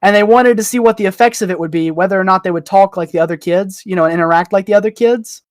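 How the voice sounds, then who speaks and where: A young adult man chatting casually as a podcast guest, with a joking, animated manner. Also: high voice, quick pace, no room sound, studio quality. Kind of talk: casual chat.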